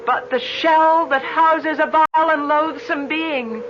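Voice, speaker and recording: A woman playing a grieving, resolute young village woman in old-time radio drama, speaking intensely and theatrically, halting when troubled. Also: high voice, steady tone. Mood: solemn, declaiming. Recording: muffled, noisy old radio recording.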